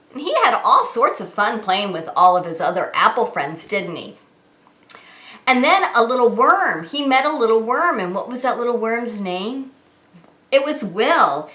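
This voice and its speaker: Animated preschool teacher, woman, roomy echo. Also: a little high. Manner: animated, sing-song, asks and answers questions to young children. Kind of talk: children's read-aloud story time. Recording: roomy, echoing indoor sound.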